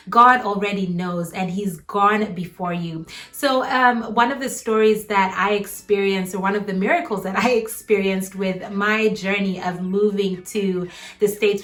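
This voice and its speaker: Young ugandan woman doing a faith vlog, earnest and warm, mid-pitched voice. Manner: earnest, warm, laughs between phrases.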